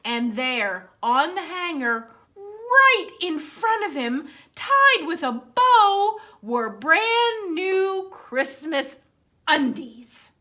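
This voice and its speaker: A woman with a high, bright voice reads a children's story aloud. She takes her time, leaning on key words with dramatic expression. Her delivery is aimed squarely at young listeners, as a library storytime reader. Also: very animated, very slow. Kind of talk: animated read-aloud of a children's picture book.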